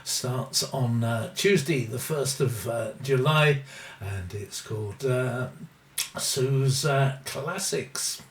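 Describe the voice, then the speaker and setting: A man's voice: mid-range voice, takes it slowly, recorded from a distance. Roomy-sounding man presenting music radio. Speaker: radio show host. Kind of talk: music radio presenting, record details and dedications. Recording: roomy echo, music playing behind.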